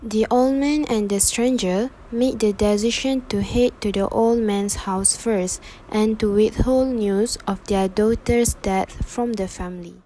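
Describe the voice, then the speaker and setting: A woman reads scripted narration as the narrator of a play. Her voice is mid-pitched and mellow, with faint noise behind it. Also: measured pace, few pauses, low-quality recording.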